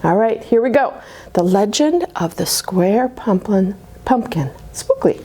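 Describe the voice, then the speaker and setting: A woman reading a rhyming children's picture book aloud at a church story time, expressive, dropping to dramatic whispers. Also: medium pitch, measured pace, slightly rough.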